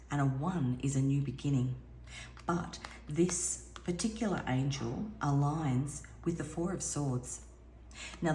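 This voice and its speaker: A low-pitched woman with a raspy voice talks through a spiritual tarot reading in an earnest, wandering way. She restarts her sentences often. The recording is roomy and echoey, with a faint hiss underneath. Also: a little mellow. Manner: meandering, earnest, with frequent restarts. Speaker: intuitive tarot reader. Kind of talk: spiritual card reading monologue. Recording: echoey room with faint background noise.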